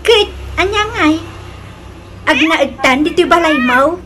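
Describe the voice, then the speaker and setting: A headstrong old mother in love in a comedy radio drama, speaks in a high voice. She is coquettish, insistent and dramatic, and the recording has clear background noise. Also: lively intonation, takes it slowly, bright, forceful tone, heavily acted.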